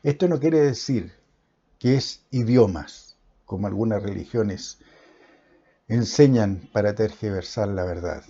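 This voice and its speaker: A man delivering a Bible sermon, stressing phrase after phrase with measured pauses. His voice is dark and a little husky. The recording sounds muffled and lo-fi. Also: fairly low pitch. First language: Spanish. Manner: emphatic, declaiming with pauses. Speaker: preacher. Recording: dull lo-fi recording.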